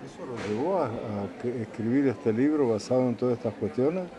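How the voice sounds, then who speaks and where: Male interviewer, mid-range voice, halting and probing, clear crowd noise behind him. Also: mid-range voice. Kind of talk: media interview questions.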